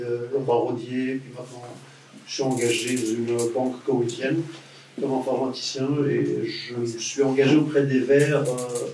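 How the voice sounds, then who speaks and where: A man's voice: medium pitch, a little mellow. A man, introducing himself as a candidate with many hesitations. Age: 40s. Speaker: election candidate. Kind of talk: self-introduction. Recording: echoey hall, distant mic, faint noise.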